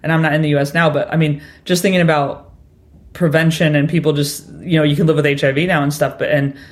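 A man hosting an interview, asking long, wandering questions with soft laughs. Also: on the high side, flat delivery, fast talker. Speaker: podcast host. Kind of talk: conversational interview.

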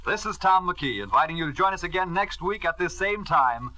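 A man's voice: sits high, lively intonation, a little fast. A male announcer giving a formal sign-off on an old radio broadcast. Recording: old radio broadcast over loud music.

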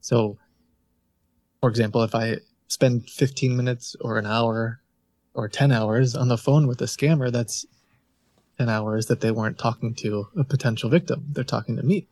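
A man answering interview questions in a relaxed, thoughtful way, often laughing. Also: mid-range voice, moderate pace, no echo. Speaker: scam-baiting streamer, guest.

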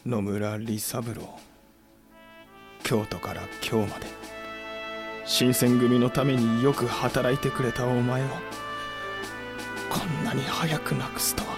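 A man acting a fiery, blunt, hot-tempered but loyal samurai commander in a historical audio drama, with rough, forceful speech. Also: medium pitch, steady tone, slow pace, pauses often, music in the background. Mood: quiet grief. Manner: rough, forceful speech, bellowed battle orders.

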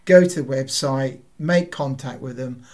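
Brief: male podcast host, mid-pitched, chatty and jokey. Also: a little slow, darkish tone. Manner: jokey, upbeat, plugs sponsors. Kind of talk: casual interview chat.

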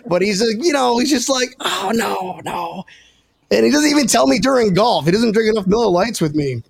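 A man's voice: high-pitched, lively intonation, a little fast, gravelly. A man hosting a casual sports podcast with jokey, self-deprecating banter and salty language. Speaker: podcast host.

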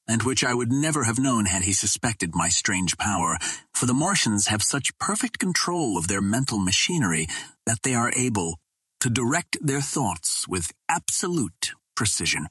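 A man reading a classic adventure novel aloud as scripted narration, in a measured, formal storytelling manner. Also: fairly low pitch, fairly bright tone, breathy edge, no echo. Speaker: audiobook reader.

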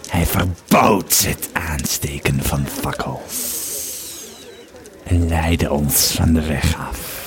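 A man playing a weary, troubled Roman legionary who tells his tale haltingly in an audio drama. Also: very deep voice, heavily acted. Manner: halting, repeating words, hushed at times. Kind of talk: audio drama monologue and narration.

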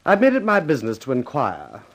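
A prim, snide company man in a 1950s radio thriller. He speaks formally in a middle-pitched voice, full of officious insinuation. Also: huge pitch swings, brisk. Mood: snide, insinuating.

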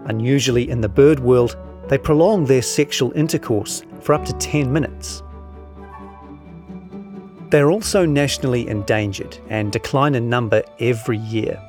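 A man's voice: mid-range voice, moderate pace, darkish tone. New man narrating over music. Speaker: narrator of a long-form article. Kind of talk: scripted narration of journalism.

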